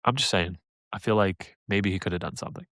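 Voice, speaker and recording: A man co-hosting a casual fan podcast, talking excitedly and pitching ideas with laughs. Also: quick pace, no echo, clean studio sound. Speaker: podcast co-host.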